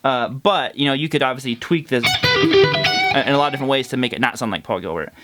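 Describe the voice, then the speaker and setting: A man's voice: medium pitch, expressive, fairly quick. A man teaching a casual guitar lesson, congested and nasal, counting fret numbers as he plays. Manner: explains while playing, counts fret numbers.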